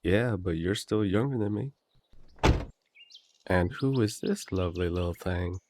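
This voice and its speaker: A man's voice: low-pitched, a little slow, darkish tone, slight background noise. A man playing a cheery, blunt country werewolf big brother in an immersive audio drama, with a folksy, teasing, hearty way of talking.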